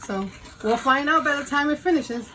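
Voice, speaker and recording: A woman's voice: sits high, animated, moderate pace, pushed and bright, slight background noise, poor audio. A woman in her fifties with a Puerto Rican accent hosts a casual home cooking vlog, chatty and self-deprecating, laughing often as she cooks in her kitchen. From Puerto Rico. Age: 50s. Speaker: cooking channel host.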